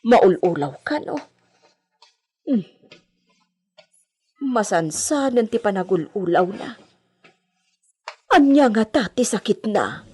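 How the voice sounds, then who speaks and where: An anxious maidservant in an Ilocano radio drama. She has an Ilocano accent and talks slowly in a slightly breathy voice, hesitant and deferential to her employer. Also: mid-range voice, big pitch swings, mellow, faint music behind, exaggerated voice. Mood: worried. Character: deferential, anxious female house servant.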